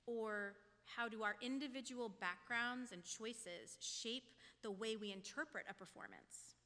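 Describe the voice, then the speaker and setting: A woman giving a prepared, reflective sermon as a lay speaker, in a measured, questioning manner. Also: a little high, animated, sharp tone. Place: church sanctuary. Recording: long church hall reverb.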